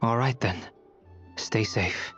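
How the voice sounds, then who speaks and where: A man's voice: mid-range voice, slow pace, a bit bright. A man playing a courteous, analytical researcher in a video game, lecturing in a formal, erudite way. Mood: composed. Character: scholarly young male researcher, courteous and analytical. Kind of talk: video game dialogue acting. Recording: dull game capture with music.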